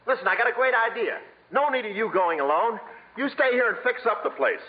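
An actor playing a wheedling, wisecracking small-time racetrack hustler in 1950s radio comedy style. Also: male voice, high-pitched, lively intonation, fairly quick. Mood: pleading, wheedling. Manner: glib, wisecracking patter. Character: fast-talking, wheedling small-time racetrack con man. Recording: muffled, noisy old radio broadcast.

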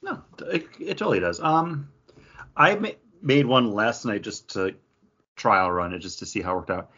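Guy chatting on a podcast, kinda raspy and low, moves around in pitch, dull audio. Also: expressive, moderate pace, sharp tone. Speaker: podcast co-host. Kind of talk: casual chat.

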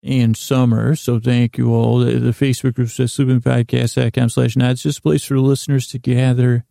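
A middle-aged man with a gravelly, creaky voice, hosting a bedtime sleep podcast in a meandering, halting monologue that trails off into tangents. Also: medium pitch, flat delivery, warm tone, no echo, studio quality. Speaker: podcast host.

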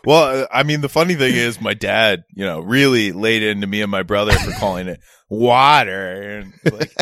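A man's voice: on the low side, moderate pace, a bit bright, dry recording, clean studio sound. A man co-hosting a podcast, bantering enthusiastically and casually. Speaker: podcast co-host. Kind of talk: casual chat.

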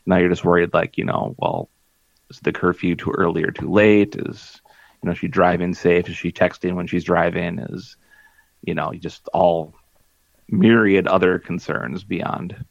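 A man's voice: sits low, fairly even intonation, normal speed, gravelly, no echo. A man in his forties chatting casually as a podcast co-host, rambling and self-deprecating with lots of fillers. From Minnesota. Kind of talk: casual chat. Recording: dull lo-fi dry audio.

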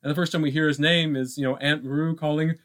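A man co-hosting a podcast, talking casually about film in a halting way, thinking aloud with frequent asides. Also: on the high side, steady tone, fairly bright tone. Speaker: podcast co-host.

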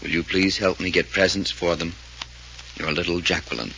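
A man reads a letter aloud in a very low but bright voice. It is a 1940s radio recording, dull with faint hiss. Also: fairly even intonation, normal speed, creaky.

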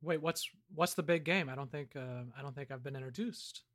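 A man co-hosting a comedy podcast, chatting in character with an earnest, deadpan delivery. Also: medium pitch, fairly quick. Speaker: podcast co-host.

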